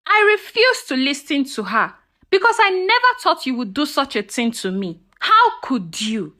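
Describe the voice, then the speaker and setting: A woman's voice: high voice, very animated, moderate pace, pushed and bright, heavily acted. A woman storyteller voicing a protective mother with emotional, emphatic delivery in a dramatic folktale. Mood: hurt, angry. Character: heartbroken, indignant mother, protective of her young daughter. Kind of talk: folktale storytelling character dialogue.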